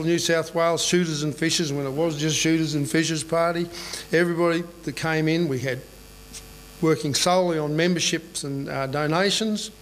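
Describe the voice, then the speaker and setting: Middle-aged man, Australian accent, slightly high voice, conference room mic, faint electrical hum. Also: rough recording. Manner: plain-spoken, conversational, checks in with "right?". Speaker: conference speaker. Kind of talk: presentation talk. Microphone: boom mic on a lectern stand.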